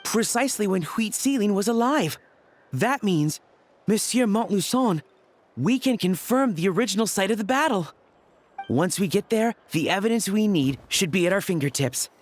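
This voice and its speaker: A man's voice: high voice, expressive, moderate pace, slight background noise. A man playing a keen, methodical, young-sounding investigator in a video game, precise and formal. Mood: confident.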